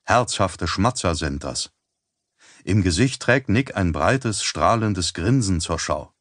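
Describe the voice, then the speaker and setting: A man reading an audiobook as narrator in a measured, even storytelling manner on a close studio mic. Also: sits low, no room sound. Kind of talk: scripted narration.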